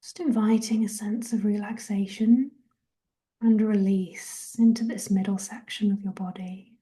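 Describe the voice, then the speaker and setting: A woman guides a meditation in a gentle, half-whispered voice. Her tone is extremely soft-edged and warm, with no brightness at all. She moves very slowly through each soothing instruction, at a medium pitch for a woman. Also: steady tone. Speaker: guided relaxation and meditation host.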